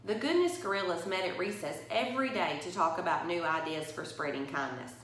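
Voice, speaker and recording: A woman's voice: on the low side, lively intonation, fairly quick, a bit bright, heavy reverb, slight background noise, low-quality recording. A woman reading a children's bedtime story aloud, expressively and directly to young listeners. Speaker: story reader for a church.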